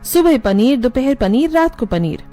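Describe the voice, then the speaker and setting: A woman's voice: high voice, big pitch swings, moderate pace, mellow, soft background music. A woman voicing an irritated young bride in a cartoon, scolding and exasperated. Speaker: voice actor voicing several women. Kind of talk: cartoon dialogue.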